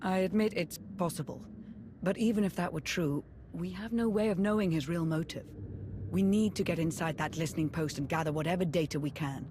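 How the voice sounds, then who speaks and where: An actress playing a cautious, determined military adviser in a video game, giving serious, measured briefings. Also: female voice, fairly low pitch, background noise, lightly acted. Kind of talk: dramatic video game cutscene acting.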